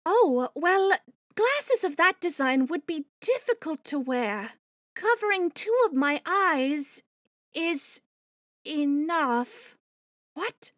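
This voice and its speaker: A woman's voice: high-pitched, animated, takes it slowly, fairly bright tone, no room sound, clean studio sound, heavily acted. A woman voicing a protective, easily angered spider-woman foster mother with a scolding manner in a comic dub. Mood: indignant. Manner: indignant, scolding, slips into Spanish.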